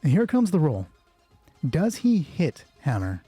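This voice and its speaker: A man's voice: on the high side, wildly swooping pitch, a little slow, warm tone, husky, faint music behind. A man casually narrating an improvised solo roleplay game, thinking aloud and swearing offhandedly.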